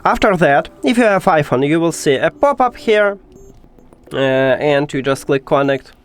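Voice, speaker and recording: A man narrating a step-by-step tech tutorial in informal, slightly non-native English phrasing, pausing hesitantly as he explains. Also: on the high side, expressive.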